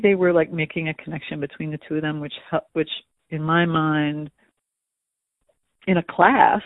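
A man with a slightly high, gravelly voice works through his thoughts aloud, pausing and restarting often. The sound is dry and tinny, like a phone line. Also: dry recording. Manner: thoughtful, hesitant, many restarts and fillers. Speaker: museum education staff. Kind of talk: conversational interview answer.

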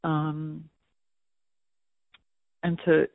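A man's voice: fairly high pitch, completely flat delivery, drawn-out words, vocal fry, dry recording. A man talking through an interview answer thoughtfully, with frequent restarts and fillers, as an educator. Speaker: museum education staff. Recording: tinny phone-like audio.

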